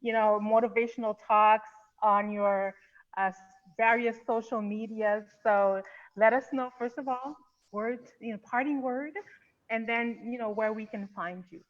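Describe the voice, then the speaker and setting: A woman's voice: fairly high pitch, steady tone, unhurried, muffled recording. A woman hosting an interview in a casual, gushing way, full of fillers like "you know". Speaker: interview host.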